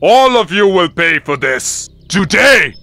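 Cartoon lion king: furious, pompous menace, fairly high forceful voice, deliberate pace. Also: very animated, full character voice. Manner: pompous, menacing, growling threats. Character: furious, imperious lion tyrant of the jungle. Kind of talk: animated cartoon acting. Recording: music behind the voice.

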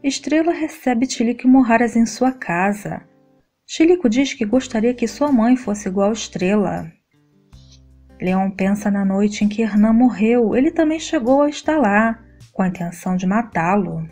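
Mellow woman reading a soap recap. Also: mid-range voice. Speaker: recap narrator. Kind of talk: scripted soap opera recap narration.

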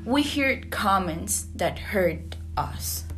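Student from Costa Rica, reads her script slowly, guitar bed under her. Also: mid-range voice, expressive, fairly bright tone. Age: young adult. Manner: slow, earnest reading. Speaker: student podcaster. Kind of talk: scripted reading.

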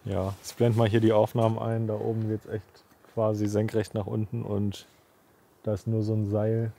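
A man's voice: lowish voice, monotone, moderate pace, vocal fry, dark tone. A man in his twenties talking casually and slangily in a hiking vlog, outdoors with a camera. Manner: colloquial, slangy. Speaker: travel vlogger. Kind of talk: casual chat while hiking. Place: outdoors on a mountain trail. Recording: outdoor camera audio with ambient noise.

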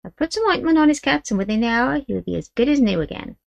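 A woman voicing a fierce old great-grandmother from a storybook, with a high, croaky old voice. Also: expressive, quick pace, no room sound, dull audio. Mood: reassuring.

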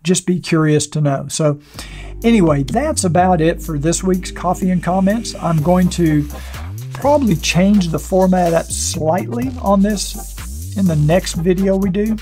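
An older man with a rough, hoarse voice that sits a little high for a man talks straight to camera from a small studio desk. He rambles, restarting his thoughts with plenty of fillers as he answers viewer mail. Faint music plays underneath. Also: a little slow, dark tone. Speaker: motorcycle channel host. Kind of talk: casual talk to camera answering viewer comments. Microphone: wireless clip-on mic.

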